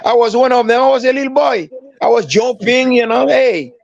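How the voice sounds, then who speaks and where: An older man from Haiti, a former footballer, telling stories in an interview with many halting restarts and fillers. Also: very high voice, gravelly, no echo, muffled recording. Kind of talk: storytelling interview.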